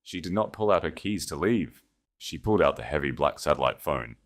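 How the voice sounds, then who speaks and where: A man with a low-pitched voice narrates a piece of fiction. He reads from a script in a dramatic audiobook style. The delivery is that of a storyteller carrying the scene. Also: lively intonation, fairly quick.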